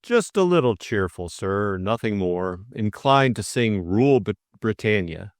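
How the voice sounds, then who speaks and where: A man voicing a stiff, deferential valet in an audiobook, speaking in a measured, unflappable, scrupulously polite way. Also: lowish voice, expressive, no echo, clean studio sound. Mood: dutiful, composed. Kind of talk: audiobook character acting.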